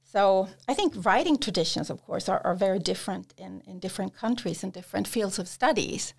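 Gravelly-voiced woman tutor, hesitant. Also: mid-range voice, animated, brisk, a little hiss or hum. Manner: hesitant, many fillers and restarts. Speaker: academic English support tutor. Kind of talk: conversational interview answers.

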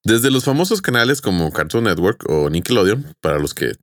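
Low-voiced man co-hosting a casual podcast. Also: big pitch swings, a little fast, fairly bright tone, no room sound, studio quality. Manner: informal, conversational. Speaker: podcast co-host. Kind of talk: casual presenting of prepared topic.

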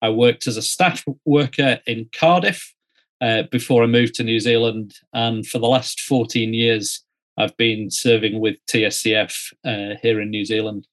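A middle-aged man from Yorkshire, speaking as an interview guest in a conversational storytelling way with measured, hesitant phrasing. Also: medium pitch, steady tone, fairly bright tone. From Yorkshire, northern England. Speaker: interview guest, student ministry director.

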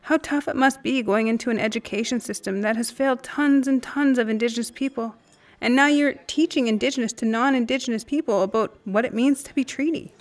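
A woman's voice: on the high side, a little fast, close to an everyday voice. A woman playing a candid, indignant friend in a naturalistic audio drama conversation. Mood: candid, critical. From Canada, Saskatchewan.

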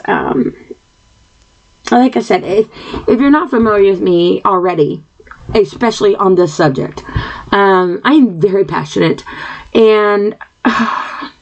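A woman's voice: mid-range voice, low-quality recording. Earnest woman rambling into her computer mic. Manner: earnest, sidetracked, frequent fillers and throat clearing. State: dry mouth. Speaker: podcast host. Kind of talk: rambling personal monologue. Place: home. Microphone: computer microphone.

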